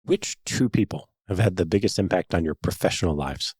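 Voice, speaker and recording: A man hosting a podcast, asking closing questions in a brisk, quick way. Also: deep voice, lively intonation, darkish tone, breathy edge, dry recording, studio quality. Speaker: podcast host. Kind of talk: interview questions.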